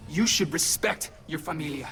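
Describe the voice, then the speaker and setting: A man playing a cheeky, excitable teenage boy superhero in a video game. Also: on the high side, normal speed, lightly acted. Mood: taunting. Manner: wisecracking, eager. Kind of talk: video game cutscene acting. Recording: music behind the voice.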